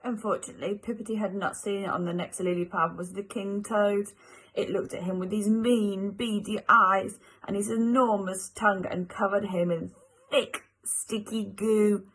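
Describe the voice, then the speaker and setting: A woman's voice: medium pitch, fairly even intonation, normal speed, fairly bright tone, breathy edge, rough recording. A female teacher reading a children's story aloud as a storybook narrator.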